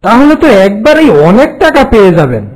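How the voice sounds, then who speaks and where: A man voicing a wily village swindler in a folk-tale cartoon, wheedling and cocky as he reassures and flatters. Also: very high voice, brisk, heavily acted, low-quality recording. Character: wily, smooth-talking village swindler flattering his victim.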